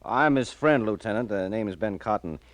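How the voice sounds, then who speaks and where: An actor playing a polite, folksy small-town business partner, loyal and a little hesitant, in 1940s radio style. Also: male voice, lowish voice, lively intonation, normal speed, dry recording, close to an everyday voice. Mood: sympathetic.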